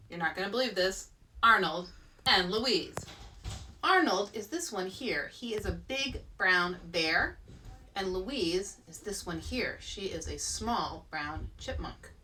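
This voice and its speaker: A woman's voice: lowish voice, pushed and bright, recorded from a distance, slight background noise. A woman hosting story time for young children, reading aloud expressively and speaking directly to her listeners.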